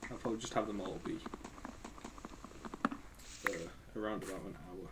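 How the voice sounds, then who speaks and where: A man's voice: low voice, moderate pace, heavy background noise. A man losing his voice and coughing, giving casual, rambling gameplay commentary as a gaming channel host. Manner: rambling, hesitant, thinks aloud.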